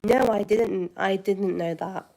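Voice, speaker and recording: A woman's voice: medium pitch, a little slow, gravelly, a little mellow, rough recording. A woman from West Yorkshire with a Yorkshire accent, hosting her channel and chatting casually with viewers, rambling with many fillers and restarts. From West Yorkshire, England. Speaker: channel host. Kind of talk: casual chat answering viewers.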